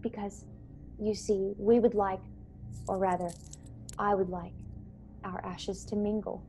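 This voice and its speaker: A woman acts as a young female officer aboard a starship in a science-fiction radio play. She is earnest and courteous, persuading gently in measured, polite phrases. Her mid-pitched voice comes over a video call with some background noise. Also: level tone, close to an everyday voice. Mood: polite, wistful. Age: young adult. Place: small bedroom, indoors. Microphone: earbuds on a video call.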